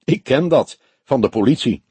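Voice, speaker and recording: A man voicing a calm, courteous old police detective in an audiobook, questioning formally and probingly. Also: mid-range voice, moderate pace, slightly rough, dry recording. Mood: calm, amused. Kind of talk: audiobook character dialogue.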